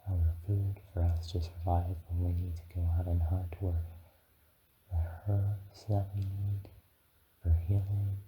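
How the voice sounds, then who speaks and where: A man voicing a gentle young dragon guide in a soft, often whispered ASMR guided meditation, speaking soothingly. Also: low-pitched, lo-fi sound, a little hiss or hum. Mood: calm, musing. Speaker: ASMR performer.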